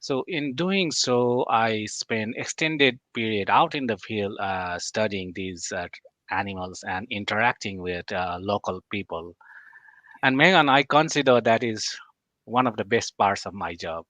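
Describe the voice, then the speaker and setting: A man in his forties from Nepal, a guest expert who speaks in a measured, hesitant way with frequent pauses and fillers. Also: lowish voice, a bit bright, no echo. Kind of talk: interview answers.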